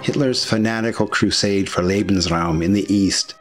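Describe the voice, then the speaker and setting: A man with a deep, low-set voice narrates a history documentary. His delivery is scripted, formal and measured, with passages of commentary. Quiet music plays underneath him.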